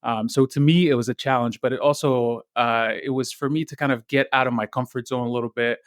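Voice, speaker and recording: A young man from Egypt, a guest on a podcast, speaking in a soft and friendly way with many ums. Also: medium pitch, steady tone, fairly quick, clean tone, no echo, studio quality. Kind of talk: casual interview.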